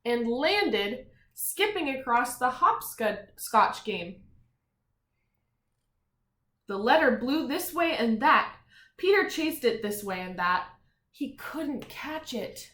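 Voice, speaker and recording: A woman teacher reading a picture book to young children, expressive and emphatic on the text, with softer, encouraging conversational asides and questions. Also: a little high, slow, long pauses. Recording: echoey room sound.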